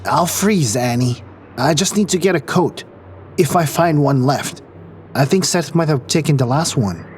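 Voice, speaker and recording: A man's voice: fairly high pitch, lively intonation, measured pace, slight background noise. A man playing a wry, pragmatic space crewman in an audio drama, dry and a little exasperated. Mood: tense, pleading.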